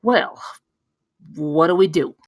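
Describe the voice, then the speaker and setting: A woman's voice: low voice, takes it slowly, no room sound, clean studio sound. A woman playing a frightened, defensive gamer dad who pleads and protests in exasperation at a comedy table read. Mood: defensive, exasperated.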